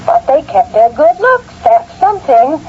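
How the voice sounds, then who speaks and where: A woman's voice: high voice, very animated, unhurried. An actress playing a sociable, contented and slightly resigned married woman making a call in an old-time radio drama, chatty and theatrical. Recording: muffled noisy vintage radio recording.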